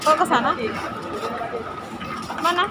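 Young woman vlogging over loud crowd noise. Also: extremely high pitch, takes it slowly. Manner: chatty, addresses viewers as guys. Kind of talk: casual vlog narration. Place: crowded indoor stadium. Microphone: phone held at arm's length.

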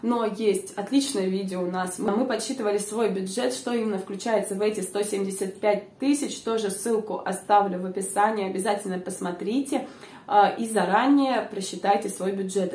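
A young woman with a hoarse voice, chatting casually to her viewers with lots of filler sounds, recorded on a lapel mic in a small room. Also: medium pitch, darkish tone, reverberant, far from the mic. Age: young adult. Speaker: vlogger. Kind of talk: casual informative vlog.

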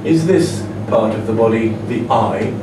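A man in his thirties with an English accent, teaching a language class in a quick drill style, asking each question twice and prompting the students' answers. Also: on the low side. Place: small classroom. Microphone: camera across the room. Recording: echoey classroom, distant camera, background noise.

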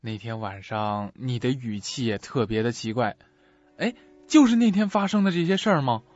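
An actor playing a steady, reassuring male manager in a suspense radio drama, coaxing and probing. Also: mid-range voice, moderate pace, dry recording, lightly acted. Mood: puzzled. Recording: dull, dry audio.

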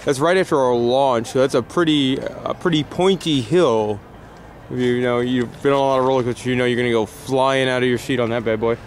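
A man's voice: medium pitch, brisk, a little hiss or hum. A man hosting a theme park show, talking enthusiastically on location outdoors. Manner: enthusiastic, upbeat. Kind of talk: casual on-location chat.

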